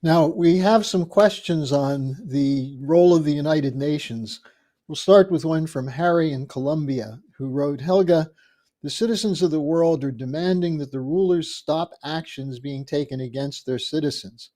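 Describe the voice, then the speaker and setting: Older man reading questions, mellow voice. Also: fairly high pitch. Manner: measured, reads questions aloud. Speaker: host. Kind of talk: webcast hosting, reading viewer questions. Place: home office with bookshelves. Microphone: video call.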